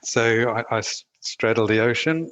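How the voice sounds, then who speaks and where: A man's voice: medium pitch, moderate pace, fairly bright tone, no room sound, dull audio. A man with a South African accent, a guest talking things through casually, with plenty of "you know" and restarts. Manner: thoughtful, many fillers and restarts. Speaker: guest, managing director. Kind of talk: casual conversational interview.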